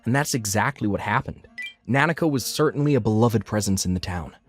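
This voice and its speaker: Give this to a man playing an earnest diner owner telling his own story. His voice sits fairly low, and he reads as a scripted manga-dub narrator. Soft music runs underneath. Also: lively intonation, a little fast. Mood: matter-of-fact.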